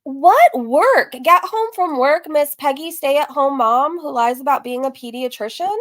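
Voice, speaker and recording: Higher-voiced woman streaming casual commentary. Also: animated, a little slow, fairly bright tone, dry recording, clean studio sound. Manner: teasing, mocking. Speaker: host of a reaction stream. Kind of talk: casual commentary to live viewers.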